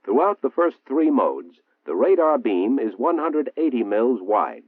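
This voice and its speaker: An American man reading a 1960s military training film narration in a formal, measured, precisely enunciated manner. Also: mid-range voice, very animated. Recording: muffled, roomy 1960s film soundtrack, phone-like.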